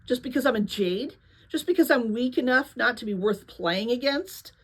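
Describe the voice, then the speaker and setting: A woman's voice: fairly high pitch, animated, normal speed, slightly rough. Woman doing a stubborn teen boy from a novel, grumbly, defensive, kinda indignant. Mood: defensive, heated. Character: stubborn, irritable teenage boy, defensive and proud.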